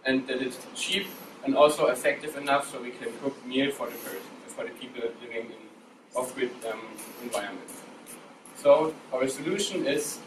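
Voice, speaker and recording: A young man from Germany pitches an idea with upbeat energy. He sounds distant and echoey, with noise in the background. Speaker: student presenter. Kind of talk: presentation.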